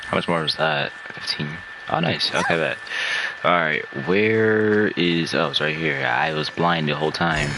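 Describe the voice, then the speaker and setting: Deep, creaky-voiced man streaming a game. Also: lively intonation, measured pace, noisy background. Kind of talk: casual gameplay commentary. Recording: game music behind the voice. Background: video game music.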